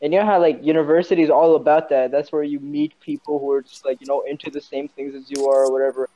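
A man's voice: a little high, steady tone, fast talker, muffled recording. A young man from Saudi Arabia chatting casually as a podcast guest, informal, joking and rambling loosely. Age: young adult. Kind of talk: casual chat.

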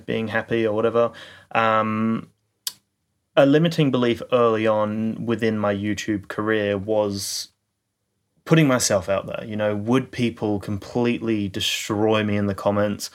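Low-voiced man musing on a podcast. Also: vocal fry. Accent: non-standard, mocked as a kid. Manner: reflective, rambling with fillers and restarts. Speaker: podcast co-host, YouTuber. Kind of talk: casual podcast chat.

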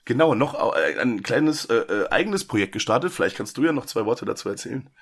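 A man's voice: medium pitch, sing-song, brisk, slightly rough. A man hosting a horror movie podcast, talking casually with lots of fillers and jokes while he has a cold. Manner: chatty, joking, many fillers and restarts. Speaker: podcast host.

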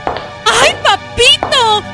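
An actress playing a pampered, sharp-tongued young wife in a radio comedy sketch, full of fussy endearments and indignant retorts. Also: female voice, extremely high pitch, extremely slow, creaky, music in the background, exaggerated voice.

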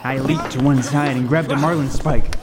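A man's voice: medium pitch, fairly even intonation, normal speed, a loud crowd, lightly acted. An actor playing a lighthouse keeper who tells his horror story with vivid, suspenseful intensity. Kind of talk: dramatic first-person narration in radio table read. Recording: roomy long reverb, faint noise.

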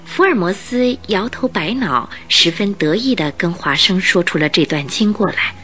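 Animated woman narrator over music. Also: on the low side, normal speed. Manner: expressive storyteller delivery. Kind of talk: scripted storytelling narration for radio drama.

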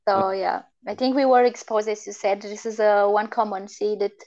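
A young woman from Romania with a Romanian accent, speaking English as a second language as a guest in a casual conversation, chatty and quick to laugh. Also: mid-range voice, fairly even intonation, darkish tone. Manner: chatty, laughs often, many restarts. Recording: dull lo-fi audio.

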